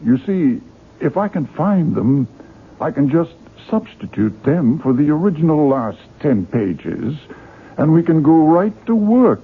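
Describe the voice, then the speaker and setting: An actor playing an earnest, courteous middle-aged book publisher who is easily exasperated, in 1970s radio drama style. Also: male voice, on the high side, sing-song, a little slow, a little hiss or hum. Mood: businesslike, courteous. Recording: 1970s radio broadcast, narrow phone-like band.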